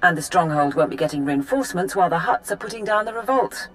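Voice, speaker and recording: An actor playing a deferential, careful military support technician in a video game, giving crisp, formal reports. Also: medium pitch, expressive, fast talker, a bit bright, soft background music, lightly acted. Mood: dutiful.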